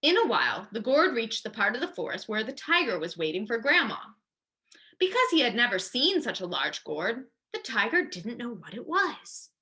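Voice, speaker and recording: A woman's voice: on the high side, big pitch swings, normal speed, sharp tone. A woman reading a children's story aloud expressively, as a librarian does at storytime.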